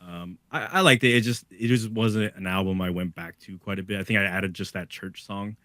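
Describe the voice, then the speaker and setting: A man's voice: low voice, fairly even intonation, a little fast, fairly bright tone, no echo, studio quality. A man co-hosting a music podcast, talking in a laid-back casual way with lots of "like" and "dude". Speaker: podcast co-host. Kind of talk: casual music review chat.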